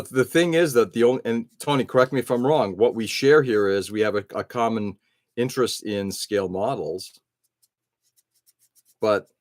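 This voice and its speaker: A man's voice: fairly low pitch, darkish tone, dry recording, clean studio sound. A middle-aged man hosting an early-morning live stream, rambling casually and answering viewers' chat comments.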